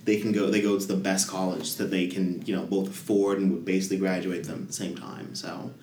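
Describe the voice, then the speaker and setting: A man's voice: deep voice, level tone, brisk, darkish tone, roomy sound. A man, a guest, telling at length and with enthusiasm about his team's hackathon project in a casual podcast chat. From Denver area.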